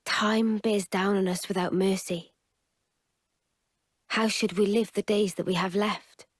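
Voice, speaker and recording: A woman playing an earnest, resolute young fighter in a video game, solemn and reflective, with a breathy, husky voice. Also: medium pitch, steady tone, measured pace, no room sound, studio quality. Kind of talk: dramatic video game narration.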